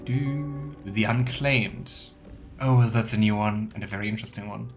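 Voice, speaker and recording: Let's play host: low male voice, gaming commentary and read-aloud game text, faint music underneath. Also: unhurried. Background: soft game music.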